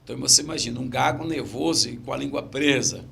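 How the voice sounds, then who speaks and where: Stammering Brazilian pastor, gravelly voice. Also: male voice, mid-range voice, fast, a bit bright, a little hiss or hum. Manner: repeats phrases, laughs at own stories. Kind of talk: casual podcast chat, storytelling.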